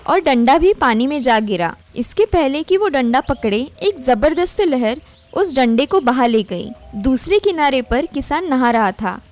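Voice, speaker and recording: A woman reading scripted narration for animated moral stories, as a storyteller. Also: high voice, unhurried, faint music behind.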